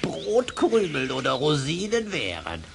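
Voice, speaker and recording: Creaky, croaking mocking raven. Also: medium pitch, wildly swooping pitch, background noise, heavily acted. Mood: mocking, gleeful. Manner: mocking, nagging, drawn-out croaking exclamations. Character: talking male raven, wise, scolding, mocking old friend. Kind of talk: children's radio play acting.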